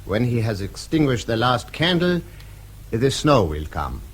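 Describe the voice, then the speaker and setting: Formal official in a radio play, raspy, expressive, courtly but a bit ominous. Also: male voice, medium pitch. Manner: formal, measured storytelling. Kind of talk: BBC radio drama acting.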